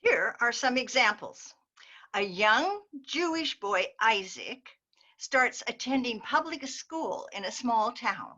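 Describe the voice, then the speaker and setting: Slow, bright woman on dull call audio. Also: a little high, expressive. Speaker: readers theater performer. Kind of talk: performed reading of jokes and stories. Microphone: video call.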